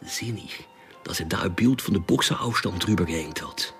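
An actor playing a cocky, slyly friendly young Dutch drug dealer in a crime radio drama, speaking German with a Dutch accent and slightly broken grammar. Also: male voice, low voice, normal speed, fairly bright tone, soft background music. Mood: sly, casual.

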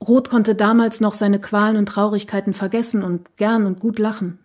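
A wistful, reflective woman, played by an actress, recalls a past love in a radio play. Her voice is very mellow and mid-pitched, and she speaks in a sober, measured way. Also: fairly even intonation, close to an everyday voice. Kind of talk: documentary radio play monologue.

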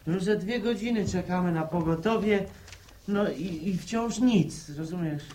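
An anxious countryman in a radio serial. His high voice pleads nervously over faint background noise. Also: a little slow, warm tone. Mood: agitated, pleading. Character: shaken, pleading, anxious village man. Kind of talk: radio drama acting.

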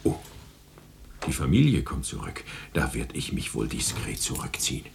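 An actor playing a sly, teasing host from the beyond in a radio play, theatrical and mock-solemn, with a very deep, gravelly rasp. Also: male voice, huge pitch swings, moderate pace, background noise, heavily acted. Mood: smug. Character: sly, teasing otherworldly host interviewing a dead housewife. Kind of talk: German radio play acting.

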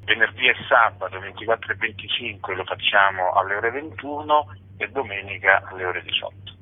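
Low raspy man over telephone. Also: brisk. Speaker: interview guest, actor and writer. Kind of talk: radio interview, informal explanation. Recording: narrow phone line on radio.

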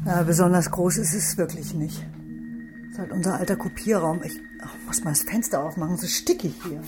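An actress playing a sympathetic, informal police colleague in a naturalistic radio crime drama, with a casual manner. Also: female voice, lowish voice, brisk, music in the background, natural voice. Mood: apologetic, sympathetic. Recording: clearly noisy ambience behind voice.

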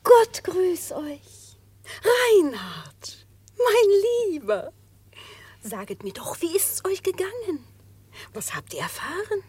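A devoted, anxious mother vixen in a classic radio play. Her voice is very high and swoops expressively, tender and plaintive, with slow, drawn-out phrases. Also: wildly swooping pitch, darkish tone, dry recording, full character voice. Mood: tearful, worried. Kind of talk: classic German radio drama acting.

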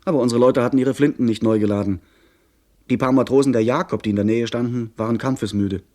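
Young male adventurer-narrator played by an actor, middle register, literary, measured radio drama narration. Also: mid-range voice, fairly even intonation, mellow, close to an everyday voice. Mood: tense, narrating. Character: young gentleman recounting his pirate adventure.

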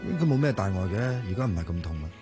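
A man's voice: sits low, animated, fast, over music. A flustered, earnest young man. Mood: flustered polite. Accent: Hong Kong Cantonese. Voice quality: hesitant, flustered. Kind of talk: broadcast radio drama acting.

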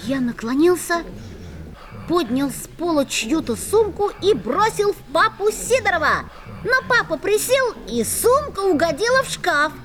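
A mischievous schoolboy narrator. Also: female voice, extremely high pitch, sing-song, measured pace, crowd noise, full character voice. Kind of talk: radio play narration. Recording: music playing behind.

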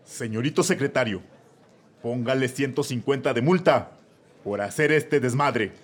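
A man's voice: mid-range voice, moderate pace, breathy edge, close to an everyday voice. A man with a Mexican accent voicing an irritable judge who barks orders in a comedy radio drama. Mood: exasperated. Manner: exasperated, barking orders. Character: irritable, bossy male judge.

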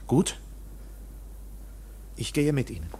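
Indignant accused man, widely swinging pitch. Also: expressive, slow pace, slight background noise. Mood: guarded, probing. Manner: questioning, swinging from hushed to outraged. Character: anxious, indignant accused man, polite but insistent. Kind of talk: German radio play acting.

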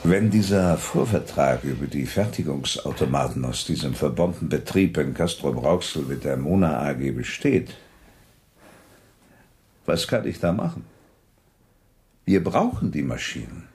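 An actor in a German radio drama playing a measured, scheming industrial director who phrases things deliberately. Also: male voice, a bass voice, expressive, darkish tone. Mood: guarded, persuasive.

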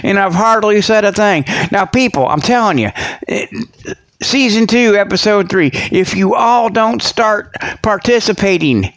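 Male comedy host, high register. Folksy, digressive, repetitive delivery of rambling mock announcements. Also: animated, measured pace, fairly bright tone. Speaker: show host.

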